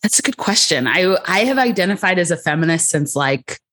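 Low-voiced calm woman. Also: a little fast, breathy edge. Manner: thoughtful, calm, laughs easily. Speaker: psychologist guest. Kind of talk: podcast interview.

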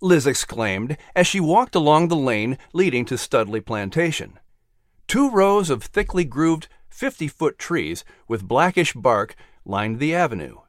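A man narrating a children's story as announcer, with expressive, scripted storytelling delivery. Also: fairly high pitch, unhurried, no room sound, studio quality.

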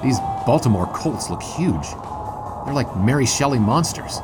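A man's voice: medium pitch, expressive, moderate pace, loud music behind the voice, natural voice. A man playing a skeptical, puzzled 1920s sportswriter in an audio drama, blunt and incredulous. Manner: blunt, incredulous, old-fashioned exclamations.

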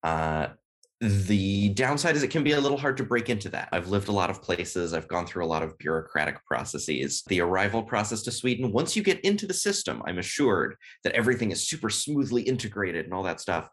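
An American man, a podcast guest, talking casually and thoughtfully in an interview, often pausing with "uh" and "you know". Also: fairly low pitch, very animated, a bit bright. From United States. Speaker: podcast guest, game writer.

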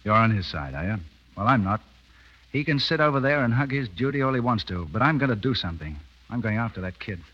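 An actor playing a hot-headed, defiant cavalry officer in a 1930s radio drama, blunt and sarcastic. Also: male voice, medium pitch, expressive, fast talker, close to an everyday voice. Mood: defiant, wry. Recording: dull vintage 1930s radio broadcast.

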